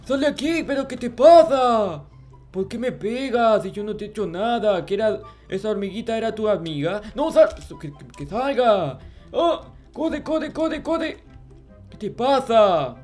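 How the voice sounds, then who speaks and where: A man's voice: high voice, expressive, unhurried, darkish tone, soft background music. A man voicing a childish, clueless, grumpy little game character, stammering and repeating himself in a Minecraft roleplay. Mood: indignant. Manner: babyish, stammering, repetitive, easily flustered. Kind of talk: improvised Minecraft roleplay narration.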